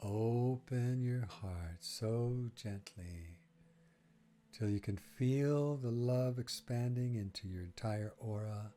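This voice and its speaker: A man leading a guided breathing meditation, speaking gently in short phrases with long, unhurried pauses. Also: mid-range voice.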